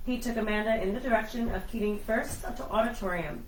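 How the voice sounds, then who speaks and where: A woman's voice: mid-range voice, a little fast. Woman, narrator, scripted novel reading, echoey room, faint background noise. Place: live reading in a bookstore. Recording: roomy, distant mic, faint noise.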